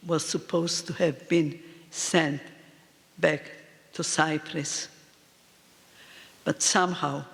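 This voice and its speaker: A woman in her eighties, a native Polish speaker, speaking in slow, halting short phrases as a guest at a live talk in a reverberant hall. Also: sits low, vocal fry, fairly bright tone. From Poland. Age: 80s. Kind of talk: answering on stage in a live talk. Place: event hall with audience.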